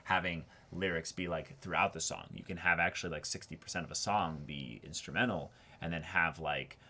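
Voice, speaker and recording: A man with a very low-pitched voice that still cuts through brightly. He talks casually as a show co-host, feeling his way through sentences and rewording as he goes. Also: brisk, a little hiss or hum. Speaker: co-host of an anime reaction show. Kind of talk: casual discussion of an anime episode.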